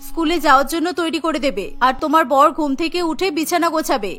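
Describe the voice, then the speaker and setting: A woman's voice: high-pitched, lively intonation, fast, sharp tone, soft background music, voice pushed down. A woman voicing a kindly older mother-in-law in a cartoon story, apologetic and reassuring.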